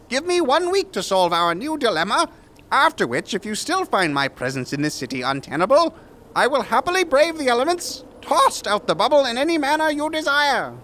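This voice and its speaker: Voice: mid-range voice, a bit bright, a little hiss or hum. An actor playing a pompous, theatrical mad scientist who is grandiose, flustered and gleefully irresponsible in a comic audio drama. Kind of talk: audio drama comedy acting.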